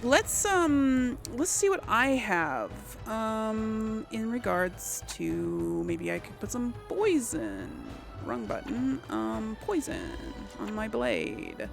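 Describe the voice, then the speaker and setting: Slow-talking woman gamer, lively pitch, slightly creaky. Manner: informal, talks herself through each move. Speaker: gamer and let's play host. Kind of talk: casual let's play commentary, thinking aloud. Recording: game music behind the voice. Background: video game music.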